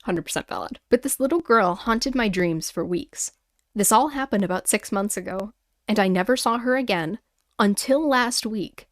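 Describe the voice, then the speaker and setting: A woman hosting a podcast, reading listener stories and reacting in an animated, informal, exclamatory way. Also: medium pitch, moderate pace, dry recording, clean studio sound. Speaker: podcast host.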